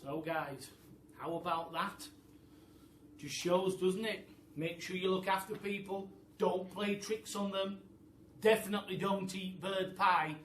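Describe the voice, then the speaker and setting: A British man tells a story for children in an expressive, teacherly way. He speaks to listeners directly. The sound is echoey and slightly distant, with light background noise. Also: on the high side. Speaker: primary school teacher, storyteller. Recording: echoey room, distant mic, faint noise.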